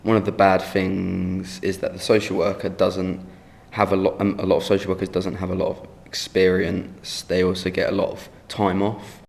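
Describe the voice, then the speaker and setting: A 19-year-old man, a care leaver speaking haltingly with fillers in personal testimony. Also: low voice, monotone, creaky, darkish tone. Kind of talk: personal testimony for a short film.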